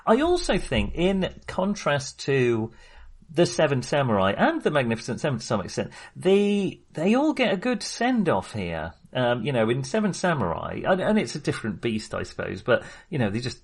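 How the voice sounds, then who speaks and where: A man co-hosting a podcast in a casual film discussion, speaking in a halting, wry, jokey manner. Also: medium pitch, huge pitch swings, breathy edge. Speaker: podcast co-host.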